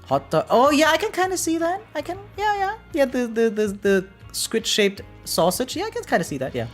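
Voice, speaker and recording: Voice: sits high, big pitch swings, normal speed. A streamer in their thirties giving casual live commentary, rambling and often tagging sentences with "right?". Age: 30s. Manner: rambling, keeps adding "right?". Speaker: variety game streamer. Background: faint music.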